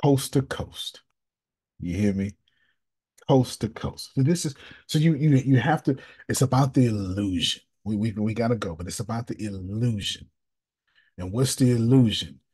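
A man hosting a casual coaching call over video, animated and emphatic, with slangy, repetitive phrasing. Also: fairly low pitch, normal speed, husky. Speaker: business coach and host.

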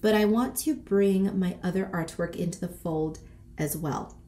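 A woman's voice: lowish voice, a little slow. A woman in her thirties chatting casually in a sassy vlog style, congested and a bit short of breath from a cold. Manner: sassy, animated, peppered with slang and swearing. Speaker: boutique owner and vlogger. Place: small decorated room indoors.

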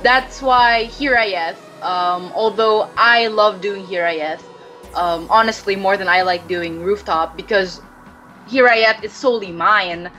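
A woman's voice: medium pitch, slow, pushed and bright, faint music behind. A woman talking casually as a podcast guest, rambling with lots of fillers and restarts. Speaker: podcast guest, webcomic creator.

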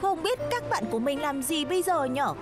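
A woman's voice: high voice, big pitch swings, a little fast. Casting: a kindhearted young boy bus in a kids' cartoon, piping childlike voice, earnest and exclamatory, music underneath. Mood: wistful. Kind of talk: dubbed children's cartoon acting.

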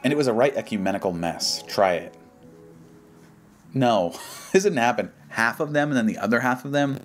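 A man's voice: mid-range voice, animated, moderate pace. An American man doing casual reaction commentary, reading aloud and responding in a slangy, mocking, dismissive way. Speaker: YouTube commentary host. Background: background music.

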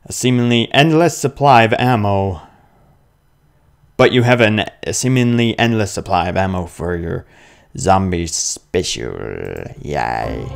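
A young man playing a video game and commenting on it, muttering slowly and then yelping loudly in fights, with faint game music behind. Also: low voice, lively intonation, creaky, clean tone. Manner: slow, mumbling asides that jump to loud yelps in fights. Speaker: gaming video host. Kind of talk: let's play commentary. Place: indoor room with webcam.

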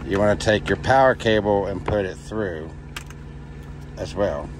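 A man's voice: on the low side, measured pace. A middle-aged American man giving a casual hands-on tutorial as a trucker. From United States. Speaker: trucker and channel host. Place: inside a semi truck cab. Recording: noisy truck cab with engine hum.

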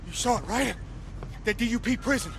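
A desperate fugitive convict, his voice pushed very high and strained. He sounds urgent and panicky in a game cutscene, with clear noise behind him. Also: brisk. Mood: wary, urgent. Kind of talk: video game cutscene acting.

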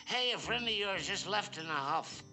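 Slurring, friendly drunk, swooping pitch. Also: male voice, lively intonation, moderate pace, low-quality recording. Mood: jolly, chummy. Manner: rambling, stumbling over words. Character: friendly tipsy middle-aged husband, a drunken gent. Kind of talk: 1960s comic cartoon acting. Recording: old cartoon soundtrack with music behind.

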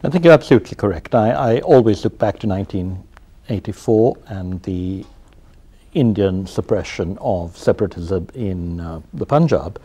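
A man hosting a TV studio interview, asking long questions in an animated way with some hesitant fillers. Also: low voice, moderate pace, breathy edge. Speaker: interview host. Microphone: lapel.

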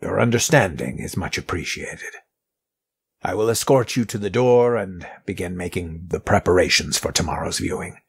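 An actor playing a guarded, courteous middle-aged mortician in an audio drama, speaking in formal, measured phrases with a husky, rough voice. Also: male voice, deep voice, exaggerated voice. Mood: composed.

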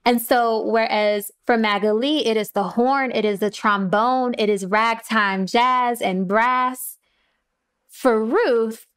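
Slow, clear-voiced woman. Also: on the high side, steady tone, fairly bright tone. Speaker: playwright guest. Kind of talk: reflective panel discussion.

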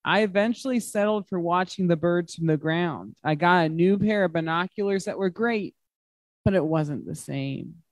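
A man's voice: high-pitched, normal speed, no room sound. A man reading a picture book aloud to children as a guest reader, expressively, with simple emphatic phrasing. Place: large glass-walled library room.

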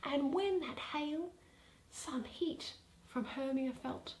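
Slow, deliberate young woman reading verse. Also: high voice, slight background noise, poor audio. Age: young adult. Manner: deliberate, expressive, pausing between phrases. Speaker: reader of a Shakespeare play. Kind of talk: dramatic solo play reading, verse. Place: small plain room indoors.

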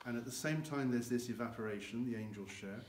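A man's voice: mid-range voice, quick pace. An older man explaining cognac aging as a guide in a warehouse, gesturing with his hands as he talks, recorded by a camera a few meters away. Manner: explanatory, gestures with both hands while talking. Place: large dim barrel warehouse with earthen floor. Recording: echoey warehouse, faint noise.

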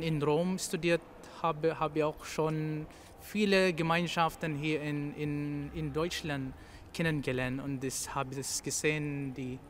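Filipino priest, accent, pretty high voice, lots of pauses and fillers, noisy background. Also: male voice. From Philippines. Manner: halting, searching for words with many fillers. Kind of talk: interview answers. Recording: noticeable background noise.

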